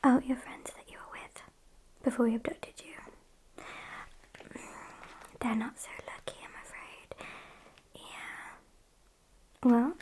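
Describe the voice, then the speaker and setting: A British woman voicing a sassy, smug female vampire in a soft, breathy ASMR roleplay whisper, with hesitant pauses and mock-polite condescension. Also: fairly high pitch, low-quality recording.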